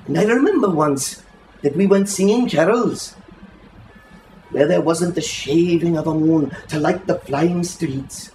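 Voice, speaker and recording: A man giving an expressive, lyrical and unhurried reading of a literary story aloud to listeners. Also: a little high, slight background noise. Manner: dramatic, lyrical, unhurried delivery. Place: indoor reading in a bookshop. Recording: roomy echo, faint music behind.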